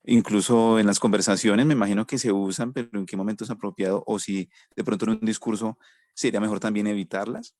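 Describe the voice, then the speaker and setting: A man with a lowish, dry voice asks his teacher questions in an online English class. He speaks politely and hesitantly, restarting often, over a video call. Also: fairly even intonation, fast talker, darkish tone, no room sound. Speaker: student.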